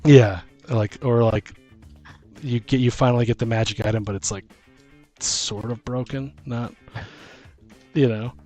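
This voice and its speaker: Man, podcast co-host, average pitch, casual chat, jokey, rambling, acts out dialogue, faint music behind. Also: fairly even intonation, measured pace, long pauses, a little mellow.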